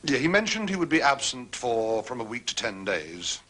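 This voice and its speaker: Pompous, bright-voiced butler. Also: male voice, mid-range voice, big pitch swings, moderate pace. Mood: formal, discreet. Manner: formal, pompous, deferential to authority. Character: smooth, self-important male butler, discreet and condescending. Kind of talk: period detective drama acting.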